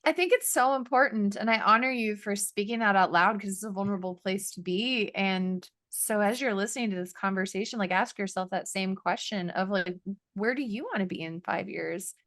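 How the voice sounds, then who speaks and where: A woman's voice: mid-range voice, fairly quick, fairly bright tone, dry recording, studio quality. A woman co-hosting a podcast, speaking in an earnest, affirming, coaching way with many "like"s. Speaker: podcast co-host. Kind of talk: casual podcast chat.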